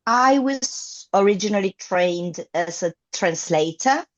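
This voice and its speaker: A woman's voice: mid-range voice, expressive, slow pace, lo-fi sound, soft background music. A woman in her fifties from Argentina, a native Spanish speaker, talking about her teaching career in an interview, hesitant with many fillers and restarts. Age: 50s. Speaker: guest, English teacher. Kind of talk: conversational interview.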